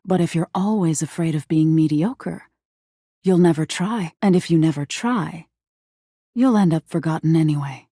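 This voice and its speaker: Creepy female nightmare spirit for a game, deep n husky, slow deliberate philosophical talk, kinda whispery. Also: warm tone, dry recording, studio quality. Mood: gently lecturing. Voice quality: husky, breathy, often dropping to a whisper. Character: female nightmare spirit, eerie, softly menacing, thoughtful. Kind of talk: scripted game character acting, intimate second-person storytelling.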